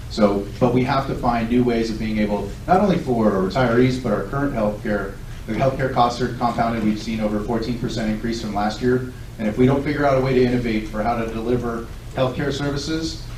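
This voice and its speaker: A man in his forties speaks fast and earnestly, with a somewhat low voice. He is picked up from far off by a device in an echoey hall, with faint noise in the background. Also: darkish tone. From Southern California. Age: 40s. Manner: fast, earnest, self-assured. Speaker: political candidate. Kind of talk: candidate forum speech and answers. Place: large echoey hall at a public event. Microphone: recorded from a distance by a tablet.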